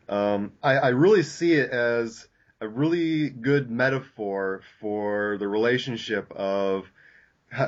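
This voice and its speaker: A young man with a fairly low voice hosts a casual podcast, feeling his way through each thought with lots of "uh"s and restarts. The audio is dull and lo-fi, like a home mic. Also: a bit bright. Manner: halting, many fillers and restarts. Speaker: podcast host.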